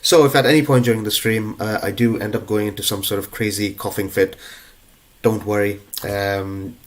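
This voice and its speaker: A man's voice: fairly low pitch, little pitch movement, moderate pace. A young adult man with a cold, hosting a live online prize draw in a casual, chatty way with plenty of ums and uhs. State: stuffed up with a cold. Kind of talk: casual live-stream chat.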